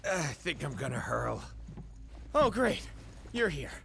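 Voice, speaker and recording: Flippant, animated space outlaw. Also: male voice, a little high, slow, gravelly, slight background noise, heavily acted. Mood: groggy, flippant. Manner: wisecracking, casual. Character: goofy, flippant male space outlaw hero. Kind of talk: video game cutscene acting.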